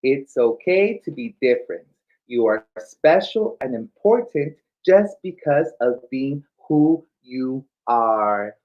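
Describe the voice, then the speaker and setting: Slightly muffled livestream audio carries a drag queen reading to children. The voice is mid-pitched and very animated, with a playful, campy turn to the read-aloud and banter. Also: takes it slowly. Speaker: drag queen story time co-host.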